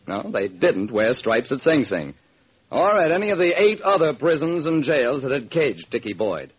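A man's voice: mid-range voice, very animated, moderate pace, breathy edge. A man acting as a hard-boiled sleuth narrating his case in an old-time radio crime drama, clipped and wry. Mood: matter-of-fact. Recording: noisy vintage radio recording.

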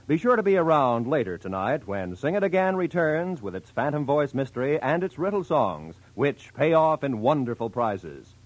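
A man's voice: medium pitch, big pitch swings, moderate pace, hardly any pauses, no room sound, poor audio. An American man announcing formally, with some showmanship, in scripted 1940s radio style.

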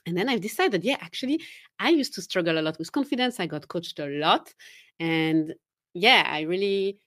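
A woman's voice: on the low side, animated, normal speed, fairly bright tone, no echo. A woman from Geneva with a Swiss French accent, a native French speaker, chatting as a confidence coach guest, laughing often and restarting her sentences. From Geneva, Switzerland. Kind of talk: casual interview chat.

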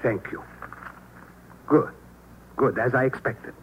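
An actor playing a polite, menacing saboteur in 1950s radio thriller style, speaking formally and precisely with cool threat. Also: male voice, mid-range voice, slow pace, fairly bright tone. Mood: smooth menace. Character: polite, menacing male saboteur with a briefcase bomb. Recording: dull, noisy old radio recording.

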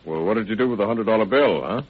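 An actor playing a curt, plainspoken sheriff's officer with an air of authority in an old radio crime drama. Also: male voice, on the low side, expressive, fast talker, phone-quality sound, slight background noise, close to an everyday voice. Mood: brisk, probing. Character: brisk, no-nonsense male sheriff's officer, plainspoken and commanding. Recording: band-limited vintage radio recording.

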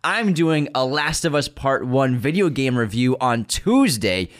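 A man co-hosting a movie news podcast in casual chat, relaxed and quick to laugh. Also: medium pitch. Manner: laid-back, laughs often. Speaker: podcast co-host.

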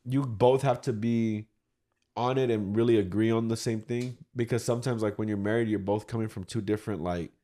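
A man's voice: on the low side, steady tone, brisk, mellow. A man in his thirties, a podcast host, talking in a laid-back, casual way full of "like" and "you know". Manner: laid-back, loose, filler-heavy talk with "like". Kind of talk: casual chat.

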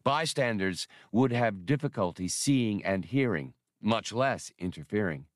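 A man's voice: lowish voice, lively intonation, measured pace, dry recording, clean studio sound. A man reading scripted narration from a science fiction adventure novel as an audiobook narrator.

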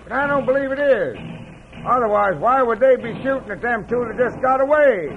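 A folksy, puzzled Old West sheriff with an American Western accent. His voice is high for a man, and the vintage radio recording is muffled and noisy. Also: expressive, a little fast. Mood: pondering.

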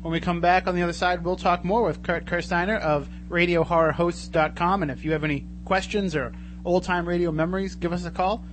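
Slightly high-voiced male radio host, noisy recording. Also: steady tone, brisk. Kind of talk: live radio hosting, casual. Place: radio studio. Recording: clear hum and background noise.

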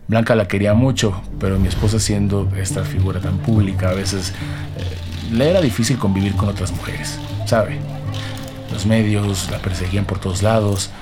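A man playing a nervous, grieving politician under questioning in an audio drama, speaking haltingly with pauses. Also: on the low side, fairly even intonation, music in the background, lightly acted. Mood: grieving, shaken. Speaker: actor in a detective audio drama. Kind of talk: dramatic podcast acting.

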